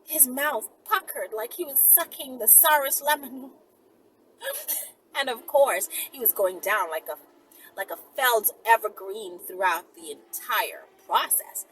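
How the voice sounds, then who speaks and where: A woman reading a story aloud in an expressive, informal, giggly manner with chatty asides. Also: fairly high pitch, measured pace, a little hiss or hum, low-quality recording.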